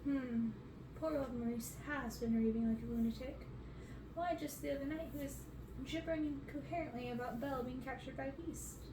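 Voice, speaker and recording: A woman's voice: high-pitched, moderate pace, far from the mic, background noise, lightly acted. Woman reading as a vain braggart man, roomy sound. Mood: smug.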